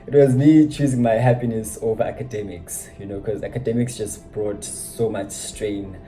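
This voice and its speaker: A man's voice: on the low side, steady tone, moderate pace, dark tone, faint music behind, rough recording. A young man, casually recounting his school years, reflective and self-deprecating, with frequent "you know" asides. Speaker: vlogger. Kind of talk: casual personal storytelling.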